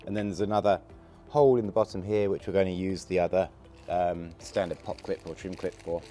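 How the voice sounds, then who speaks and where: A man with a gravelly voice from a cold, casually talking through a hands-on installation while he works. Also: low voice, fairly quick, faint music behind. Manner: relaxed, chatty, talks while working with hands. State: hoarse from a cold. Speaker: product installer demonstrating. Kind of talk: casual how-to demonstration.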